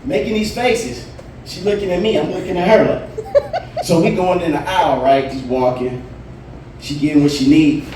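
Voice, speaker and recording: Animated man doing stand-up in a bar. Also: on the high side, moderate pace, far from the mic, noisy background. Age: 40s. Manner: laid-back storytelling, punchlines. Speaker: stand-up comedian. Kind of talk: stand-up comedy set. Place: bar stage. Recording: roomy bar, voice from afar.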